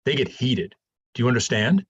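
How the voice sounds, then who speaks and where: Older male professor, played by a man: husky, very varied intonation, patient mentor with gentle wit, stage drama, slightly dull recording. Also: medium pitch, very animated, moderate pace. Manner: patient, explanatory, conversational. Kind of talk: staged reading of a science fiction play.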